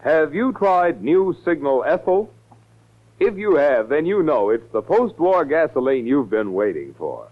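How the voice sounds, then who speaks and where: Animated 1940s radio ad announcer, a man. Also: fairly high pitch, unhurried, a little hiss or hum. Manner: enthusiastic salesman delivery. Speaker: commercial announcer. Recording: dull 1940s radio recording.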